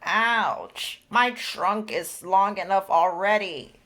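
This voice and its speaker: A man's voice: high voice, slow pace, bright, forceful tone, pitched above the performer's own voice, exaggerated voice. A man reading a storybook aloud, voicing a whiny, pleading baby elephant boy stuck in mud.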